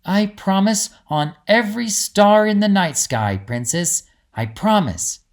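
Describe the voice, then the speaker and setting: A man's voice: sits high, animated, measured pace, voice pushed up. A man voicing a devoted winged female protector in a storybook, speaking in a tender, earnest, solemn way.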